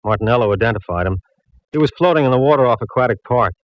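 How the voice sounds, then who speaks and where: An actor playing a police lieutenant who talks in a dry, matter-of-fact way in an old radio drama. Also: male voice, mid-range voice, animated, fast talker, no room sound, dull audio, lightly acted. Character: gruff police lieutenant Mallard.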